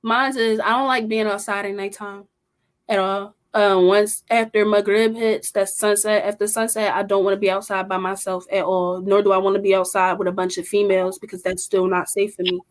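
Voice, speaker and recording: A woman's voice: mid-range voice, flat delivery, brisk. Blunt young woman speaking her mind. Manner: blunt, emphatic, strong-willed. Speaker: podcast co-host. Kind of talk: casual group discussion.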